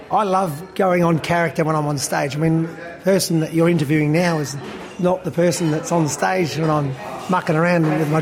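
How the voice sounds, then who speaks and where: A man in his fifties, a band musician, chatting casually and rambling with lots of fillers and laughs. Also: a little high. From Australia. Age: 50s. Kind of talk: casual interview chat. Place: busy beer hall restaurant.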